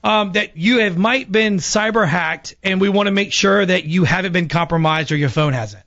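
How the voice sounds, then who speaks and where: A woman's voice: fairly low pitch, moderate pace, a bit bright. A woman working as a radio prank caller, talking politely and a little hesitantly on a phone conversation. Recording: dull lo-fi audio, music behind.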